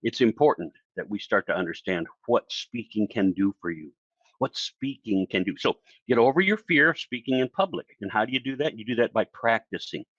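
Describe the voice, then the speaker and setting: A man with a fairly low voice coaches viewers on public speaking in a chatty, animated way, jumping into little voices for the people he quotes. The sound is dry and a bit dull, like a home-video recording. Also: a little fast, husky, dry recording. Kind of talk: casual solo video lesson.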